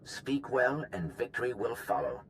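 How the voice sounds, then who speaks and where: An actor playing a precise, formal military droid advisor who speaks in statistics in a video game. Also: male voice, medium pitch, normal speed, natural voice, rough recording. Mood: analytical.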